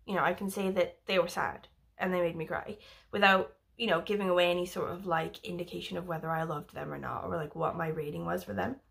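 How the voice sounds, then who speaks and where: A woman's voice: fairly low pitch, fairly even intonation, a little fast, gravelly, fairly bright tone. A young woman from Wales chatting casually about books, rambling with lots of fillers and the odd swear word. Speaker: book vlogger. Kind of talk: casual book review chat.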